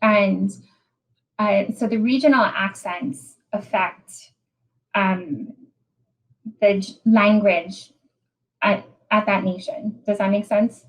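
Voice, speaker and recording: A woman's voice: medium pitch, steady tone, slow pace, long pauses, low-quality recording. Hawaiian-accented woman presenting a tech webinar. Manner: hesitant, repeats words and restarts often.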